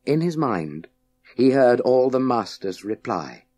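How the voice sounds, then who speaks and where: A man reading a children's science fiction audiobook in a measured, suspenseful, dramatic way with deliberate pauses. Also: mid-range voice, sing-song, darkish tone. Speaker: audiobook narrator. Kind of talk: scripted dramatic narration of a children's sci-fi story.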